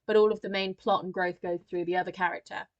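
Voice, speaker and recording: A woman's voice: lowish voice, fairly even intonation, fast, dull audio. A woman co-hosting a podcast, chatting casually and wryly about film. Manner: chatty, wry, swears casually. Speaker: podcast co-host. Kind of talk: casual film discussion chat.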